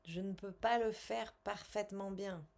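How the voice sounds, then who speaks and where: A woman coaching a guided tapping exercise in short, measured, instructional phrases with pauses and repeated statements. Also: medium pitch, fairly even intonation, creaky, a little mellow. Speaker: tapping coach and language teacher.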